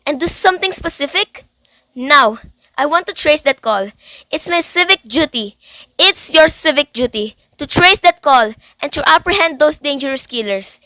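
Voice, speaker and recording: A woman's voice: high-pitched, lively intonation, moderate pace, sharp tone, no room sound, low-quality recording. A young woman playing an agitated, insistent invalid wife in a theatrical student radio drama. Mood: indignant, demanding. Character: anxious, imperious invalid wife alone at night.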